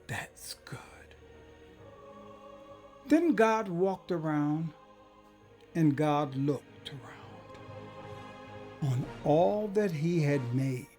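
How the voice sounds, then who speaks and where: A man recites a poem in a grand, theatrical style. His delivery is slow and reverent, with a very animated pitch that swings between hushed softness and booming proclamation. Music plays underneath his voice. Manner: solemn, reverent, swelling from hushed whispers to booming declamation. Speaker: poet performing a dramatized poem. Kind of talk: theatrical dramatic poetry recitation.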